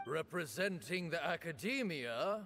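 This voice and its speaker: A man's voice: fairly high pitch, animated, a little slow, heavy background noise. A man playing a solemn officiant of a sacred rite in a video game, declaiming with reverence. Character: solemn, grandly ceremonial male officiant of a sacred duel. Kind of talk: theatrical game voice acting.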